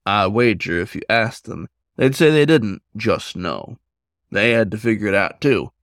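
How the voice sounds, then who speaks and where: Man acting out male werewolf mentor, storybook, folksy, measured, gentle, reassuring, dry sound. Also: on the low side, animated, no room sound. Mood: wise, reassuring.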